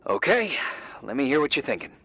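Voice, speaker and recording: A man's voice: medium pitch, very animated, fairly quick, pushed and bright, breathy edge, full character voice. An actor playing a casual, wry and mildly skeptical man in naturalistic game dialogue, offhand and hesitant, a little evasive.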